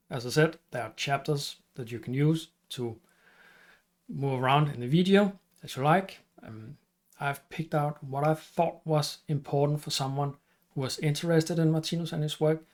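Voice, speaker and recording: A middle-aged man with a Danish accent, hosting his channel and explaining at an unhurried pace with some fillers and restarts. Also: a little high. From Denmark. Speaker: channel host and teacher. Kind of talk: casual explainer talk to camera.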